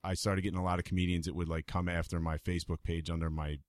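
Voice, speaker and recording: Brief low-voiced man in his thirties, radio voice, laid-back guest, dry close mic. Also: level tone, fast, a little mellow, no room sound. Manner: laid-back, self-deprecating joking. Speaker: podcast guest, comedy show organizer. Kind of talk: casual chat. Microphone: studio mic.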